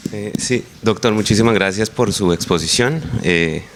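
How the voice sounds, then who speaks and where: A man's voice: lowish voice, moderate pace, a little hiss or hum. A man from Colombia, asking a polite, long-winded question from the audience. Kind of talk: formal question from the floor.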